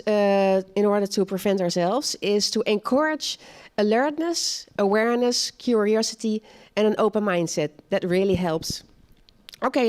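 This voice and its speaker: A woman presenting a live seminar talk on culture, speaking in a measured, plain way and checking in with her audience. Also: medium pitch, darkish tone.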